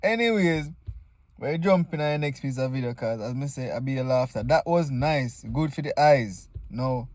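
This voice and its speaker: A man's voice: mid-range voice, expressive, normal speed, darkish tone. A man with a Jamaican accent hosting comedic reaction commentary in casual, exclamatory Patois. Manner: informal Patois, exclamatory, joking. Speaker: video host reacting to stage play clips.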